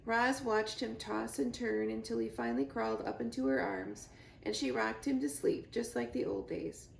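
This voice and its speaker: A woman reading a children's book aloud as an expressive narrator, in a roomy, echoey recording. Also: fairly high pitch, normal speed, vocal fry, a little hiss or hum. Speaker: library reader. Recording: roomy, echoey indoor sound.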